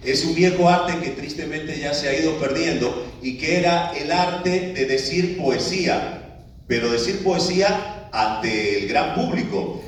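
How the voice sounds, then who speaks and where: A man's voice: fairly high pitch, measured pace. Man speaking in a very echoey hall. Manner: conversational with frequent filler words and restarts. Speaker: speaker addressing an audience. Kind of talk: live talk to an audience. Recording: echoey room, picked up from a distance.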